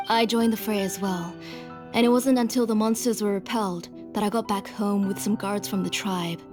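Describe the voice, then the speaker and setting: A woman playing a gentle, middle-aged mother weighed down by guilt, speaking earnestly in a video game. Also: medium pitch, natural voice. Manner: gentle, earnest, sorrowful, sighing. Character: gentle guilt-ridden mother, a former master smith. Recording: music behind.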